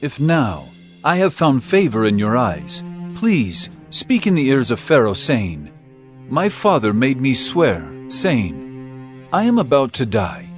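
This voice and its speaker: A man's voice: medium pitch, huge pitch swings, slow pace, faint music behind. A man playing a solemn, forgiving biblical governor in an earnest, theatrical scripture drama. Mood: solemn, pleading.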